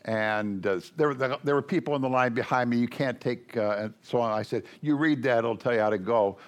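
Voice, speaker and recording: An older man preaching to a congregation in a loud, folksy, joking way, rambling with many fillers. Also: medium pitch.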